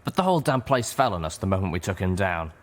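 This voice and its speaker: A man's voice: low voice, very animated, fast. A man voicing a proud, tough female griffin mercenary leader in a story reading. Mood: defensive.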